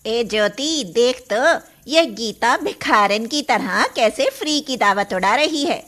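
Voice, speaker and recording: A woman's voice: on the high side, animated, takes it slowly, a bit bright. A woman voicing an emotional young schoolgirl in an acted moral story. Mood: mocking.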